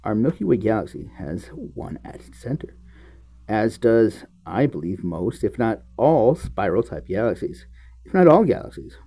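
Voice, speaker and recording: Male book reader, musing and expressive, lively pitch swings over a creaky, fry-edged tone. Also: normal speed. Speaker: host reading a book chapter aloud. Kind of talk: read-aloud of a book with offhand asides.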